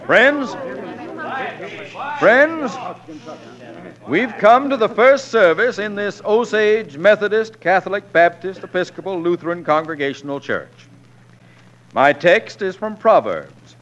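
A man's voice: high-pitched, expressive, slow, long pauses, fairly bright tone, some chatter behind, full character voice. An actor playing a bold, stubborn frontier newspaperman in 1940s radio western style, fond and fiery by turns. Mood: rousing, declaiming. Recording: muffled 1940s radio drama recording.

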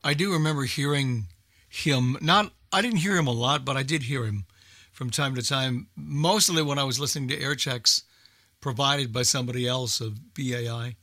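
Older American male for casual talk radio, emphatic and repetitive, forceful bright tone, close dry sound. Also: mid-range voice, moderate pace, dry recording. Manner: emphatic, repeats himself for emphasis. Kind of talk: casual group chat.

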